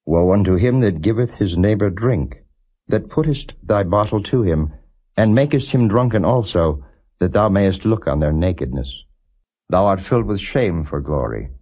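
A man giving a non-dramatized scripture reading as a narrator, in a measured, solemn and formal way. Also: low-pitched, expressive, warm tone. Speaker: Bible reader, audiobook narrator.

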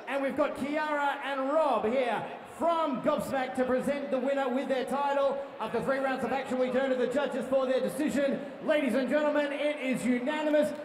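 A man announcing a boxing bout and interviewing the winner in the ring on a handheld mic, drawing out his calls. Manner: booming, drawn-out announcements. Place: large ballroom boxing event. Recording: echoey hall with crowd noise.

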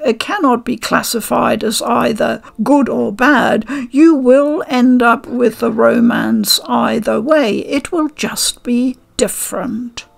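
An older woman reviewing a game from her living room at a desk mic, measured and articulate with a dry, wry humor. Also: fairly high pitch, vocal fry. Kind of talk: unboxing and review talk. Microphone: desk microphone.

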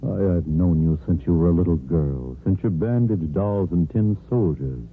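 An actor playing a kindly older hospital chief of staff in a 1950s radio soap, reassuring and paternal. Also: male voice, low-pitched, expressive, moderate pace. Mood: fatherly, gentle. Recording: muffled, noisy 1950s radio recording.

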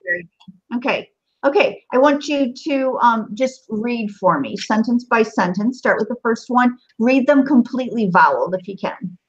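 A woman's voice: on the high side, expressive, normal speed, slightly rough. A woman teaching a language one-to-one online, giving short encouraging prompts and confirmations. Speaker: Arabic teacher. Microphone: online call. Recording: dull, lo-fi online call audio.

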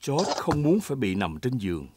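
A man's voice: medium pitch, very animated, normal speed, very noisy, close to an everyday voice. A man reading scripted narration for a children's cartoon. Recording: loud music bed behind.

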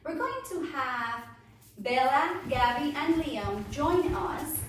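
A middle-aged woman, a ballet teacher, giving a prepared educational lecture in deliberate phrases with frequent pauses. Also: high voice, lively intonation, a little hiss or hum. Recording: echoey room, distant mic, music behind.

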